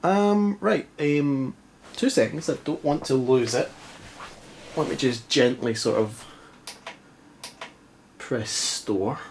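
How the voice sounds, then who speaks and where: A man's voice: mid-range voice, slow pace, vocal fry, pauses often, darkish tone, a little hiss or hum. A Scottish man with a stuffy, congested voice, chatting casually and mocking himself while testing an old console in a dim living room. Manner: chatty, self-mocking, rambling. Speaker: retro game vlogger. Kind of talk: casual chat.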